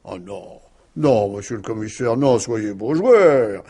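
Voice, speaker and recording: A middle-aged gentleman suspected of murder in an old French radio drama. He is lively and slightly husky, moving between polite irony and gruff exasperation. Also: lowish voice, slow, exaggerated voice. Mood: coolly defiant. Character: urbane, defiant husband suspected of murder, gruffly avuncular. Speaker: actor in a radio mystery play.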